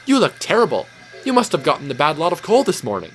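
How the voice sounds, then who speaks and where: A man voicing a forthright young female steam engine, over loud music. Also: a little high, huge pitch swings, fast talker, a little hiss or hum. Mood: concerned.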